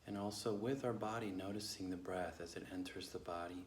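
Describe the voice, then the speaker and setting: A man leading a guided meditation as a teacher, speaking gently and slowly with long pauses. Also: sits low, fairly even intonation. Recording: echoey room with faint background noise.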